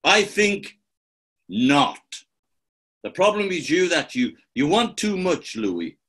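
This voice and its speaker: Gravelly, swooping gangster boss. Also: male voice, on the high side, sing-song, a bit bright, full character voice. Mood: smug, menacing. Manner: slow, grandiose, theatrical. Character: pompous male gangster boss, gloating and menacing. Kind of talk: 1940s-style radio play acting.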